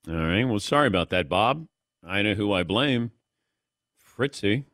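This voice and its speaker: A middle-aged man hosts a sports radio show with a lively, swinging delivery. He tells stories about himself in a relaxed, self-deprecating way. The studio mic sounds close and dry. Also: lowish voice, normal speed, dry recording. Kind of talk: casual radio talk with callers and crew.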